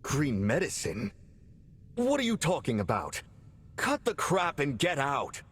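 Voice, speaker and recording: A man's voice: on the high side, wildly swooping pitch, unhurried, fairly bright tone, slight background noise, full character voice. A man playing an irritated, brusque character in a video game, with a confrontational manner.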